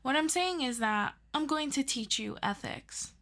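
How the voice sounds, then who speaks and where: A woman playing an earnest, eager superhero best friend in an audio roleplay, tutoring the listener in a halting, casual, self-deprecating way. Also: sits high, animated, a bit bright, exaggerated voice. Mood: teasing, upbeat.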